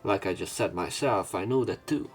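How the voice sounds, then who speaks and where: A man's voice: sits low, moderate pace, soft background music. A young man hosting a let's play, casually commenting and reading narration aloud in a rambling, hesitant way.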